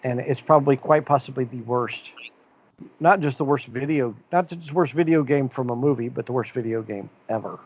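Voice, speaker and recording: A middle-aged man from the American Midwest chats loosely on a podcast with friends. He wanders from thought to thought, restarting sentences and filling gaps with "uh" and "like". His voice sits at an ordinary pitch. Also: a little fast. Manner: rambling, many restarts and fillers. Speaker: podcast co-host. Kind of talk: casual chat.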